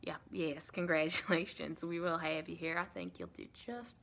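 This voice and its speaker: An American woman playing a sweet, reassuring vampire in a chatty, rambling ASMR roleplay, with a creaky voice. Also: sits low, fairly even intonation, normal speed, a bit bright, a little hiss or hum, voice pushed down. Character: sweet, reassuring female vampire welcoming a new recruit.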